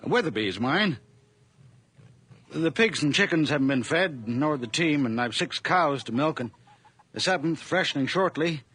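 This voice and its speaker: A kindly old farmer, with a fairly high, sharp-edged voice and lively rises and falls. He is folksy and a little hesitant, a lonely widower in an eighties radio play. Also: normal speed, husky. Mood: wistful, plain. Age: older. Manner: plain-spoken, folksy, hesitant. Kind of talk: 1980s radio drama acting.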